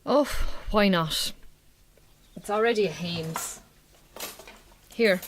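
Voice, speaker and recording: An actress playing a weary, wry Irish farm wife in a radio drama, blunt but warming, with an Irish accent and colloquial turns of speech. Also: female voice, medium pitch, slow pace, slight background noise, close to an everyday voice, rough recording. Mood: weary, sceptical.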